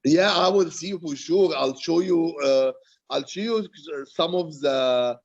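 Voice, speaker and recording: A man from Egypt, a poet and author, talking informally and haltingly on a video call, often repeating his words. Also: fairly high pitch. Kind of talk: informal question-and-answer talk after a reading. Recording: roomy, dull video-call sound.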